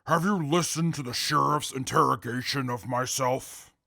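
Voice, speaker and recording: An actor playing a calm, articulate male super mutant who testifies in court in a formal, measured way with a gravelly voice. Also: mid-range voice, no room sound, clean studio sound. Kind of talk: audio drama acting.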